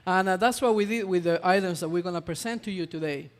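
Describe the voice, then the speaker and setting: A man talks through a cooking demo, his voice sitting high for a man. He is chatty and halting, filling pauses with uh. The sound rings with long reverb from a festival hall. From Ecuador. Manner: chatty, hesitant with many uh fillers. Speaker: chef. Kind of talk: live cooking demonstration.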